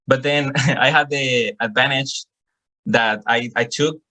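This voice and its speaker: Young Salvadoran man, bright tone, earnest student guest on a video call, restarts phrases. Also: medium pitch, fairly even intonation, slow, low-quality recording. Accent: Salvadoran Spanish. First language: Spanish. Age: young adult. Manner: earnest, repeats words while searching for phrasing. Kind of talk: answering questions on a video call.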